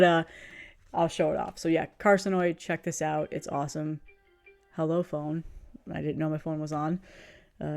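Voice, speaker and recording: A woman's voice: deep voice, creaky, a little mellow. A woman in her thirties with a cold, chatting casually and enthusiastically as she reviews music, rambling a bit and laughing often. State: has a cold, sniffly. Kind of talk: casual video review chat.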